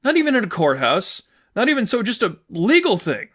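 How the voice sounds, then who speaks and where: Man as a teenage girlfriend, raised pitch, upset, exasperated, bitter, sarcastic, emphatic, audiobook dialogue. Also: big pitch swings, fairly quick, a bit bright, heavily acted. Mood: hurt, incredulous. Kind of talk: fan fiction audiobook dialogue.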